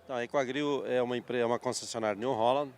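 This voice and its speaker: A man in his sixties from southern Brazil with a Paraná accent, a company manager telling his life story in a measured, thoughtful way during an outdoor interview at a farm show. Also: mid-range voice, steady tone. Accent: Paraná Brazilian Portuguese. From Santa Catarina, Brazil. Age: 60s. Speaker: guest, agricultural machinery dealer manager. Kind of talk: interview conversation.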